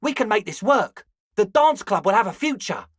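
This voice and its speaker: A man's voice: sits high, big pitch swings, no echo, clean studio sound. A male actor voicing a young, slangy club hustler in a video game, conciliatory and laid-back with a pleading manner. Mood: friendly, reassuring. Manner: slangy, placating, drawn-out pleading. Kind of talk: video game character dialogue.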